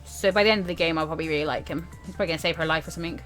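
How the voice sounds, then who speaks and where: A woman's voice: low-pitched, rapid-fire, fairly bright tone, faint music behind. A woman hosting a let's-play, giving casual, chatty game commentary with joking asides.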